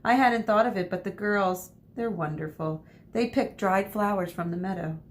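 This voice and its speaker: A woman's voice: moderate pace, natural voice. A woman reading the part of a fond, house-proud mother in a storybook, lightly scolding. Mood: pleased. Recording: noisy with low rumble.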